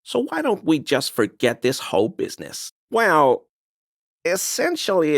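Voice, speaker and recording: Smug, gravelly conspiracy theorist. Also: male voice, a little high, no echo, clean studio sound, exaggerated voice. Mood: conspiratorial, evasive, then lecturing, morbid relish. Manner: pedantic, insinuating, mock-apologetic. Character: smug, paranoid cryptofascist man peddling conspiracy theories. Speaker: video game voice actor. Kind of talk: game character dialogue, conspiratorial explaining.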